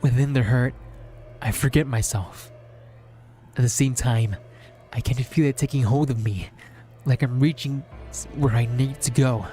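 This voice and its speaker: A man reads a visual novel aloud as dramatic first-person narration, measured and solemn, in short deliberate phrases. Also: mid-range voice, mellow. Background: background music.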